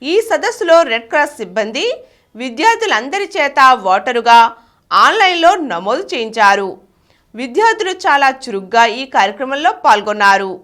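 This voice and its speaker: Woman, news reader, high voice, very varied intonation, scripted delivery. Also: sing-song, moderate pace, a bit bright.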